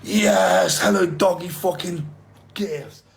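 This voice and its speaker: A man's voice: high-pitched, takes it slowly, creaky, a little hiss or hum. Brash, swearing male streamer.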